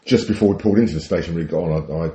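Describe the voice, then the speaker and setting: Very deep-voiced British man, wry storyteller. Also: racing, mellow. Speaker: podcast co-host. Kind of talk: casual chat, telling anecdotes.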